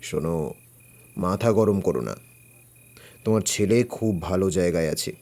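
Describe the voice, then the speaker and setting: A man playing a calm, shrewd, fearless older detective in an audio story, composed and reassuring. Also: deep voice, unhurried, full character voice. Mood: calm, firm. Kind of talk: Bengali audio story acting.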